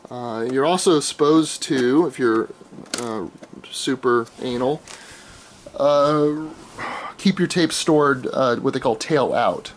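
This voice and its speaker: A middle-aged American man gives a casual, unscripted show-and-tell, thinking aloud in a halting, explanatory way. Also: medium pitch. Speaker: amateur audio archivist showing his tapes. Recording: clearly noisy home video, room ambience.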